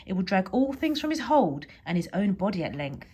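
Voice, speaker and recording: A woman's voice: mid-range voice, big pitch swings, brisk, darkish tone, breathy edge. Wicked powers played by a woman storyteller: gloomy, emphatic curses for a children's poem reading. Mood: ominous, doom-laden. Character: wicked powers cursing a newborn boy with gloom. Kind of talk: poem read aloud to children.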